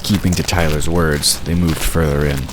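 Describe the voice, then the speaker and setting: A man's voice: very deep voice, flat delivery, moderate pace, noisy background. A man reading scripted fantasy story narration as the narrator of an audio drama.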